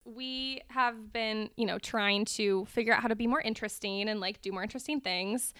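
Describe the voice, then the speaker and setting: A woman's voice: fairly high pitch, normal speed, sharp tone, clean tone, no echo, studio quality. A young woman co-hosting a podcast, chatting casually and rambling with many fillers like "um" and "like". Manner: rambling, filler-heavy, lots of "like" and "um". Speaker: podcast co-host. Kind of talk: casual chat.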